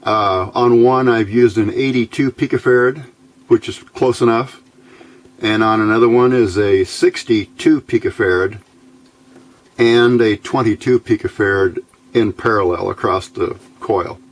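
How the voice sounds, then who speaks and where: A man giving a casual hands-on electronics tutorial, halting and thinking aloud as he goes. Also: on the low side. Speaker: electronics hobbyist video host.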